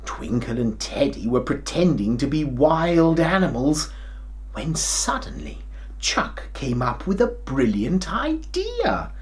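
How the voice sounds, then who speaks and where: Slow, theatrical grandad king storyteller played by a man. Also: fairly high pitch. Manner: theatrical, expressive, with hammed-up hesitations and chuckles. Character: kindly, jolly, forgetful old grandad king. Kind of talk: children's storytelling.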